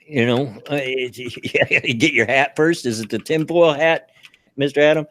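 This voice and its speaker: A man's voice: mid-range voice, a little slow, a bit bright. A man with a Southern US accent chatting casually as a podcast host, stammering through restarts and chuckling often. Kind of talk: casual political chat.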